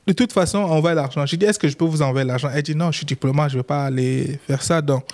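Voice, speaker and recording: Casting: young Chadian man, slightly high voice, lively storyteller who acts out quotes, accented French. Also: fast talker. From Chad. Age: young adult. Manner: animated, acts out quoted dialogue. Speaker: guest lawyer. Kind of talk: casual interview storytelling.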